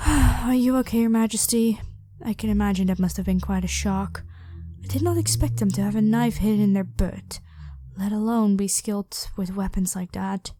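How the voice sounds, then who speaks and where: A woman's voice: medium pitch, moderate pace, dark tone, dry recording, natural voice. A woman voicing a devoted, protective royal knight, head of the guard, with formal, deferential speech in a scripted ASMR roleplay. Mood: concerned. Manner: formal, deferential address to a monarch.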